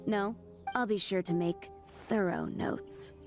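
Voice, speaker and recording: A woman's voice: medium pitch, fairly even intonation, slow, music in the background, natural voice. A woman playing a haughty, pushy diplomatic envoy in a video game, speaking in a formal, condescending manner. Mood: smooth, dismissive. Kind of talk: anime-style video game acting.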